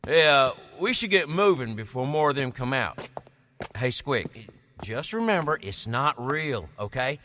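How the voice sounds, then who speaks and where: An actor playing a brash young male adventurer who jokes crudely and talks loudly. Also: mid-range voice, expressive, unhurried, slight background noise. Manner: loud, brash and joking.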